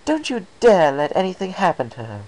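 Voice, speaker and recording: Man putting on a high, urgent female unicorn pony. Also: huge pitch swings, a little fast, a little mellow, heavily acted, rough recording. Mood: fierce, determined. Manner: urgent, high-pitched exclaiming.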